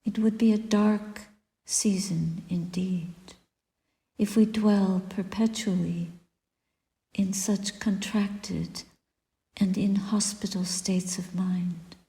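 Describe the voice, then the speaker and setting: Very mellow, slow woman meditation teacher. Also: medium pitch, fairly even intonation, creaky. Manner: gentle, deliberate, long pauses between short phrases. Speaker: Buddhist nun, meditation teacher. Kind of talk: guided meditation, spoken dharma reflection. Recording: echoey room with long reverb.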